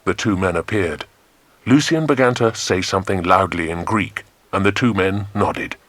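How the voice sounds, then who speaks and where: A man's voice: sits low, moderate pace, fairly bright tone, no echo. A British man narrating a dramatised children's adventure audiobook.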